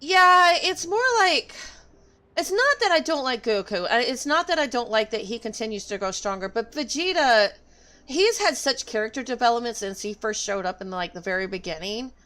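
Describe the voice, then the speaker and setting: A woman's voice: fairly high pitch, animated, moderate pace, bright, forceful tone. A woman game streamer talking casually on a livestream and reading game dialogue aloud. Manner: opinionated, emphatic.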